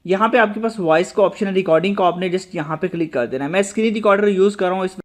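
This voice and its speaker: High-pitched man hosting a tutorial. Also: racing. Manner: instructional, repeatedly addressing viewers. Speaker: host of a tech tutorial channel. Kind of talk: screen-recorded app tutorial narration.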